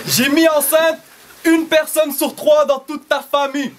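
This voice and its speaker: Un jeune homme à l'accent français rappe des rimes serrées, agressives et vulgaires. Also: male voice, very high-pitched, noisy background. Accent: French. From Algeria. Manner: rapid, dense rhymes, aggressive and vulgar. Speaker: battle rapper. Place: small indoor shop venue.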